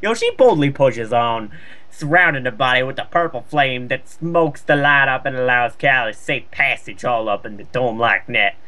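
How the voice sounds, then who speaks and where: A man's voice: medium pitch, moderate pace, sharp tone. A man casually reading slang-laden fan fiction aloud for comedy, with sarcastic asides.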